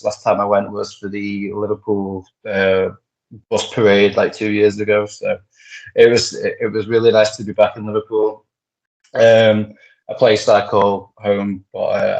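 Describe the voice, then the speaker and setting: A man co-hosting a wrestling podcast, rambling casually with lots of fillers and restarts. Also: fairly low pitch. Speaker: podcast co-host. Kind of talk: casual wrestling news chat. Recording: muffled lo-fi podcast audio.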